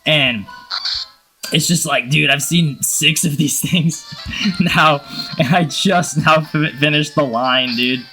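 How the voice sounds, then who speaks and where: A man with a cold giving casual, excitable, slangy gaming commentary. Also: on the high side, slow, soft background music, low-quality recording. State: has a cold. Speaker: gaming video host.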